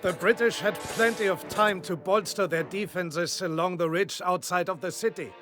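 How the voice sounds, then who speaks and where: An actor playing a confident wartime general, giving authoritative, formal mission briefings in a video game. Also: male voice, sits high, moderate pace, a bit bright, faint music behind. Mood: commanding, assured. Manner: authoritative, formal, self-assured. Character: confident, commanding male German panzer general in wartime.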